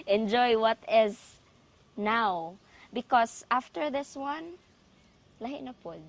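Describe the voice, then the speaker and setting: A woman from the Philippines with a Filipino accent and a Cebuano mother tongue, co-hosting a casual, reflective chat in a halting way with trailing thoughts. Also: fairly high pitch, animated. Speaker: podcast co-host.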